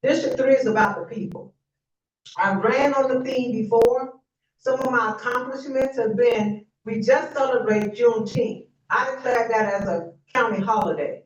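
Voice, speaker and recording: A woman's voice: on the high side, normal speed, slight background noise. A middle-aged woman, a county commissioner, giving a confident re-election speech and bantering with the audience. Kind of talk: campaign speech at a forum. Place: community meeting room. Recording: echoey, distant and dull room recording.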